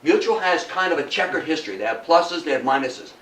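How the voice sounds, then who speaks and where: American man, public lecturer, higher-pitched voice, emphatic delivery with repetition, recorded in an echoey room. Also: animated, a little fast, fairly bright tone. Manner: emphatic, repeats for effect, engages listeners with questions. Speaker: guest lecturer. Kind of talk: public history lecture to a live audience. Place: indoor room in front of an audience. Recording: roomy, distant mic, faint background noise.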